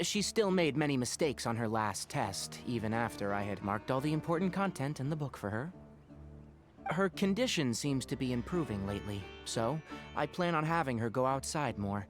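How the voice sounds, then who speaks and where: An actor playing a caring, mildly chiding young forest ranger in an anime video game, speaking articulately. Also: male voice, mid-range voice, close to an everyday voice.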